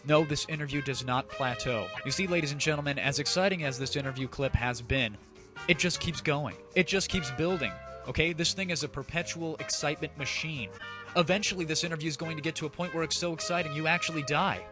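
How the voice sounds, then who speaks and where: A man's voice: fairly high pitch, fairly quick. Sarcastic man hosting over music. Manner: sarcastic, grandiose, addresses listeners directly. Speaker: comedy show host. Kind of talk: mock-dramatic radio-style commentary over music.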